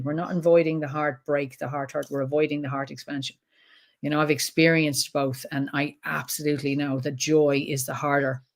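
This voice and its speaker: A woman with an Irish accent, a trauma expert talking as a guest in an interview, blunt and animated, swearing freely. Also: low voice. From Ireland. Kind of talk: podcast interview conversation.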